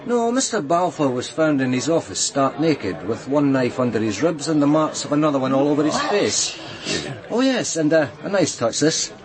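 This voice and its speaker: A man's voice: on the high side, expressive, moderate pace, crowd noise, close to an everyday voice, poor audio. Blunt police detective, played by a man. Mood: wry. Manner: blunt, wry, leaning on people with quiet pressure. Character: hard-nosed male police detective, dry and coaxing. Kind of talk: naturalistic radio drama acting.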